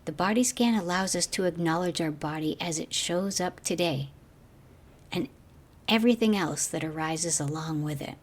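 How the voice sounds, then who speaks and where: Low-voiced woman guiding a meditation. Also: normal speed. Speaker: meditation teacher guiding a body scan. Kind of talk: guided meditation narration.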